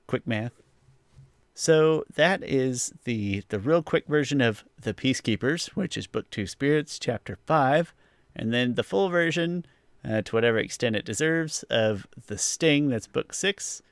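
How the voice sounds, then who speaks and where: A man's voice: mid-range voice, animated, a little slow, clear, resonant tone, dry recording. A man hosting a podcast, chatting casually through a review in a rambling, hedging way. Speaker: podcast host.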